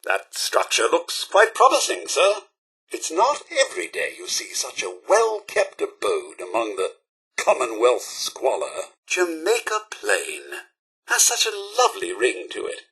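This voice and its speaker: A man's voice: a little high, huge pitch swings, a little slow, vocal fry, pushed and bright, slightly rough, heavily acted. A man voicing a polite, fussy, loyal robot butler in a video game, with a British accent and a prim, formal, dryly theatrical manner. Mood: courteous, chipper. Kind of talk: video game companion voice acting.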